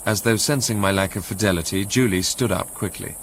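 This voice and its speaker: An actor playing an educated, introspective Englishman narrates in a measured, literary way, with an English accent, in a radio drama. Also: lowish voice, slight background noise, natural voice. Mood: reflective. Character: educated Englishman recalling his romantic obsession, wistful and introspective.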